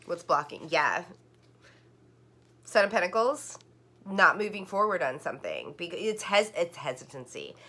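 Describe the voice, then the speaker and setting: A woman's voice: lowish voice, big pitch swings, moderate pace, long pauses, pushed and bright. A woman giving a casual online tarot reading, rambling and full of "like" fillers and restarts. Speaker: tarot card reader.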